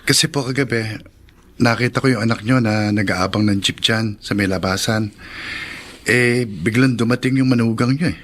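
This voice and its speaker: A man's voice: on the low side, moderate pace, a bit bright. An actor with a Filipino accent playing a polite, worried young man in a radio drama. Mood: worried, respectful. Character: polite, worried, apologetic young male former suitor.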